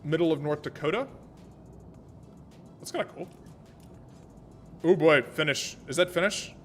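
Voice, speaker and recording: An American man streaming a naval battle game, thinking aloud about tactics and chatting with viewers in a relaxed way. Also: a little high, a little slow, a little hiss or hum. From American, born in Germany. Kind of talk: live gameplay commentary and chat. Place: Vienna home setup.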